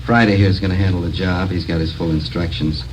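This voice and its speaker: An actor playing a brisk, watchful narcotics captain in an understated radio drama style, plain-spoken and terse. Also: male voice, sits low, steady tone, natural voice. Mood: serious. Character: brisk, watchful male police narcotics captain giving orders. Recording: muffled old radio recording, faint noise.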